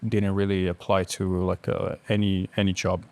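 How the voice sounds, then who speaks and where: A man's voice: low-pitched, level tone, normal speed, a little mellow. A man in his late twenties from Algeria, talking casually as a guest in a podcast interview.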